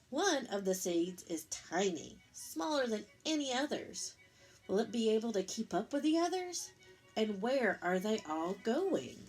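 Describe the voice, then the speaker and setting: A woman reading a picture book aloud to young children in an expressive, explanatory teacher's manner. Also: medium pitch. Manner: expressive, pausing to explain and pose simple questions. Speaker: storytime reader, teacher.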